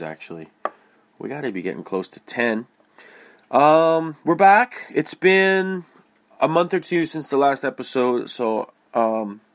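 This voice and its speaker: A man with a stuffy, congested voice hosting his podcast in a rambling, casual way, full of fillers and restarts. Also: fairly low pitch. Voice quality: nasal, stuffy. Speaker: podcast host. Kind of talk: casual solo podcast monologue.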